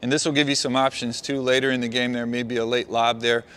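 A middle-aged man, a basketball coach, walking his players through a play on the court and calling out quick praise. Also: medium pitch, flat delivery, a bit bright, clean tone. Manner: talks players through a walk-through, calls out praise. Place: large indoor gym.

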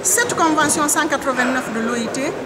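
High-voiced woman over a loud crowd. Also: normal speed. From Guinea. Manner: earnest, insistent, repeats key phrases. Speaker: trade union leader. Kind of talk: press interview answers. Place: indoor public building, crowded. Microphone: handheld reporter mic held close. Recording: loud crowd chatter behind.

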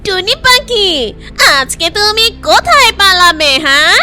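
A woman's voice: extremely high pitch, expressive, a little slow. A woman voicing a gloating, menacing water witch in a children's cartoon story, cackling and taunting.

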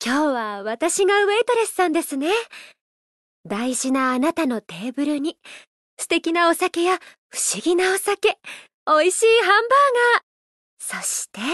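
A woman voicing a gentle, playful idol who loves a drink, speaking politely and teasingly in a mobile game. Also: very high-pitched, dry recording, clean studio sound, full character voice. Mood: welcoming, playful. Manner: polite, unhurried, teasing, softly flirtatious. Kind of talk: Japanese mobile game character voice lines.

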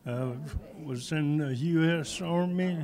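Slow-talking older Georgia man. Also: fairly high pitch, a crowd in the background. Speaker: senior center member. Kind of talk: brief self-introduction. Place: senior center activity room. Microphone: handheld mic held by host.

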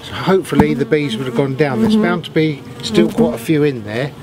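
A man's voice: a little high, sing-song, a little fast, a loud crowd. A middle-aged man casually explaining hands-on beekeeping work as he does it. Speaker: beekeeper demonstrating his work. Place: outdoors in a wooded apiary. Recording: clear outdoor noise, insects and birds. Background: buzzing bees and birdsong.

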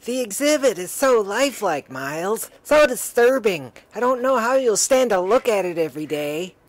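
A woman's voice: mid-range voice, animated, normal speed, no room sound, natural voice. A woman voicing an anxious, tender young woman from a comic book, with melodramatic delivery. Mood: troubled.

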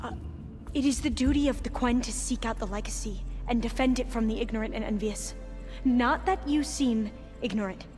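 A woman's voice: on the high side, moderate pace, darkish tone, soft background music, close to an everyday voice. An actress playing an earnest, reverent young woman scholar in a video game, speaking formally and carefully. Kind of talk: video game dramatic acting.

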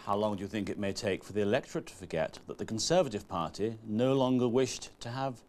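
A middle-aged man presenting live political news from a studio in a brisk, formal manner. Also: fairly low pitch, a little mellow, slight background noise. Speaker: political correspondent. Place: television studio.

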